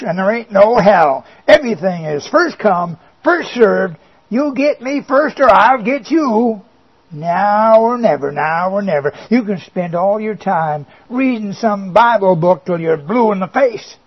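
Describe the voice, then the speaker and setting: A man voicing a boastful, mocking male devil who coaxes and sneers, with little chuckles. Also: high-pitched. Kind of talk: comic monologue performance. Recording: dull lo-fi recording.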